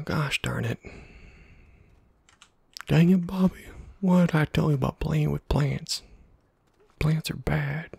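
Man, ASMR game host, hushed and very breathy, heavy vocal fry, playful muttering to himself, close mic. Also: a little high, slow, pauses often, no room sound. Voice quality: hushed, breathy, husky half-whisper. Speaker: gaming video host. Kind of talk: ASMR gameplay commentary.

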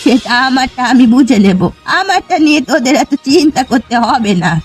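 A woman's voice: high-pitched, animated, fairly quick. A woman voicing a homely old village mother in a fairy-tale cartoon, stubborn and doting, exclaiming and fretting as she talks. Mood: defiant, annoyed. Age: older. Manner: homely, exclaiming, fretful rustic talk. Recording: music behind the voice.

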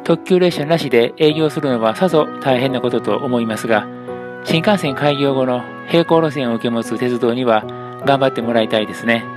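A man's voice: mid-range voice, brisk. A man giving a scripted narration for a video. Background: music.

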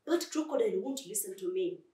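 A woman's voice: mid-range voice, expressive, fairly quick, dark tone, echoey room, recorded from a distance, lightly acted. A woman voicing a nervous, hesitant male lion king in a storybook tale.

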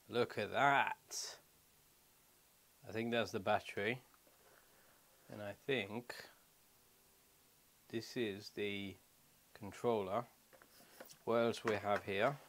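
A man's voice: on the low side, very slow, pauses often, a bit bright, slight background noise. A man in his thirties giving a casual hands-on product walkthrough, explaining step by step in short phrases as a vlogger. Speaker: motorcycle vlogger. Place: small wooden shed or garage room.

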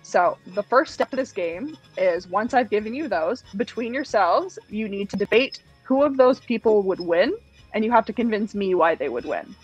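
A woman's voice: medium pitch, expressive, normal speed, a little mellow, slightly rough, dry recording, dull audio. A young woman from Canada runs a silly game show over a video call. She talks in an upbeat, easy way and laughs often, with faint music underneath. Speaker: host. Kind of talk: playful video-call interview. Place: room at home.

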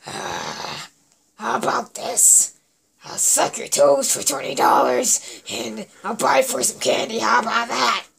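A performer voicing a demented, wheedling, drugged-up toy character with a creaky voice in an amateur plush show. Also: mid-range voice, very animated, takes it slowly, a crowd in the background, full character voice. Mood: creepy wheedling. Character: demented drugged-up scrounging candy-begging opposite of hero.

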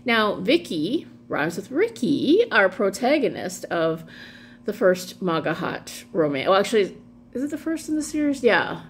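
A woman's voice: mid-range voice, big pitch swings, a little slow. Middle aged woman rambling on a vlog, kinda wry, takes her time, noticeable hum behind her. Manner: wry, amused, meandering with asides. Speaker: vlog host doing dramatic readings. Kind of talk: casual rambling commentary. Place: small room at home, indoors. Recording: clearly audible background hum noise.

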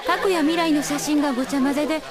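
Elegant young Kyoto tease female actor, serene high-pitched anime drama delivery, Kyoto accent. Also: fairly even intonation, fast, a loud crowd, natural voice. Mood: teasing, serene. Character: serene, teasing, refined Kyoto young lady. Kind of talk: anime audio drama acting.

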